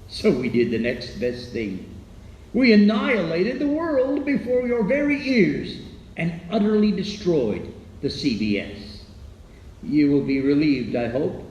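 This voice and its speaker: A man's voice: sits high, darkish tone, a little hiss or hum, heavily acted. Man as a theatrical, swooping radio dramatist. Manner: wry, grandiloquent. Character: theatrical, playful radio dramatist giving a sign-off. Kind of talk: 1930s radio address. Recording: dull echoey old-radio sound.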